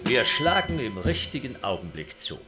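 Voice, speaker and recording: An actor in a German radio play as a pompous, calculating agent making clipped official pronouncements. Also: male voice, mid-range voice, wildly swooping pitch, normal speed. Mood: officious. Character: pompous, calculating male slogan-hunting agent. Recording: loud music behind.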